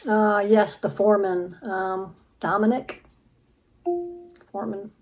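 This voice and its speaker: A woman's voice: mid-range voice, fairly even intonation, takes it slowly, low-quality recording. A woman giving brief, casual, somewhat hesitant answers as a player in an interactive mystery.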